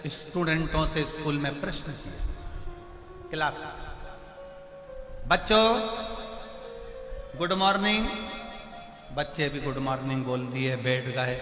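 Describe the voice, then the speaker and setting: A man preaching a devotional sermon at a large religious gathering, telling stories and asking rhetorical questions. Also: fairly high pitch. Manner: rhetorical questions, vivid storytelling. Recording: echoey hall with long reverb.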